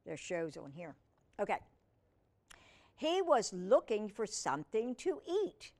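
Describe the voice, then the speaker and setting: A woman reads a picture book aloud for children in a very animated, swooping voice. Her pace is slow and deliberate, and she drops in chatty comments and questions as she goes. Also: mid-range voice. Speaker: host of a children's read-aloud storytime. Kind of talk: reading a picture book aloud with casual asides to young viewers.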